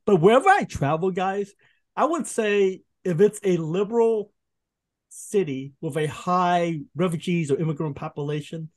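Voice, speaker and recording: A man in his fifties speaks as a podcast guest, his voice sitting a little high for a man. He tells stories with animated delivery, laughing often and restarting sentences as he goes. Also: moderate pace. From Vietnam. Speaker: guest, food writer. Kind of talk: casual podcast interview.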